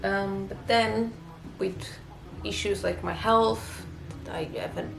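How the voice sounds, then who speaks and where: A woman from Portugal speaking English with a Portuguese accent, her voice gravelly with fry. She talks in a casual, halting way, full of fillers and restarts. The echoey room at home adds a roomy sound. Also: medium pitch, steady tone. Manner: hesitant, many fillers and restarts. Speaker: booktuber. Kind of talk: casual vlog chat.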